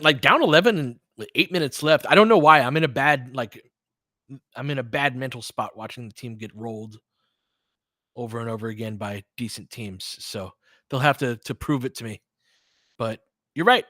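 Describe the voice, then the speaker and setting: American guy doing chatty live hoops commentary, medium pitch, kinda thinking out loud, really dry sound. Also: expressive, a little fast, no room sound. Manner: conversational, thinks aloud, answers listeners. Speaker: host of fan play-by-play livestream. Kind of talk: casual live basketball commentary and chat.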